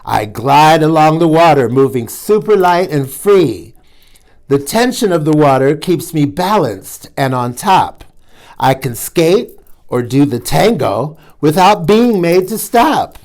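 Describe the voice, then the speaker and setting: A man's voice: on the high side, sing-song, takes it slowly, darkish tone, full character voice. A man doing a showy dancing water strider. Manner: rhyming, showy.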